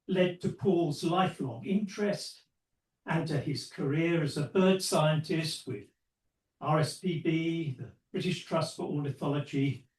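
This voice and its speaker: A man hosting a club meeting introduces the evening's speaker, telling a short story in a measured, unhurried way. His fairly high voice sounds far from the mic and echoes around the room. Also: darkish tone. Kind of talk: prepared introduction. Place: lecture room. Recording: distant and echoey.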